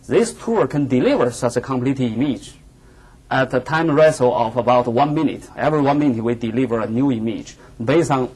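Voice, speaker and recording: A man's voice: medium pitch, animated, a little mellow. A man in his thirties with a Chinese accent, a native Chinese speaker, presenting research formally and carefully. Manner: formal, careful, deliberate phrasing. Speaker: visiting researcher giving a seminar. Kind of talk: academic presentation. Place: university lecture room, indoors.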